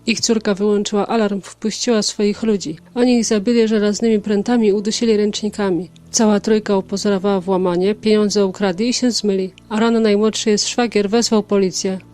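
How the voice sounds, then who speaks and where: A woman playing a calm, matter-of-fact taxi passenger in an audio drama. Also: medium pitch, fairly quick, few pauses, close to an everyday voice. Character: calm female taxi passenger recounting a grim crime.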